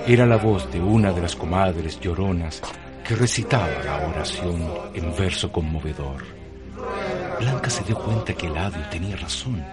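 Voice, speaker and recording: A man narrating a scripted dramatic story for radio. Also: deep voice. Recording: clear music under the voice.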